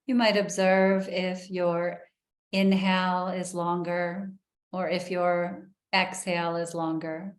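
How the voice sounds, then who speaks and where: A woman leading a guided breathing meditation in a soft, soothing way, with long pauses. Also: fairly low pitch, little pitch movement, reverberant.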